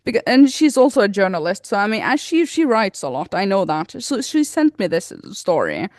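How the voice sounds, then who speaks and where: A woman co-hosting a podcast in casual chat, laughing often as she talks, her pitch jumping up suddenly. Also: sing-song, brisk, darkish tone, no room sound, clean studio sound. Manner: giggly, laughs often while talking. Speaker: podcast co-host.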